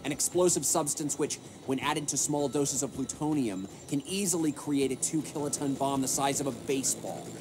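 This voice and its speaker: An actor playing an accusatory American government official, formal and prodding, in a video game. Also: male voice, medium pitch, moderate pace, hardly any pauses, noisy background, lightly acted. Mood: accusing. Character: accusatory male government official, smug and pressing. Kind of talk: cinematic video game acting. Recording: music behind the voice.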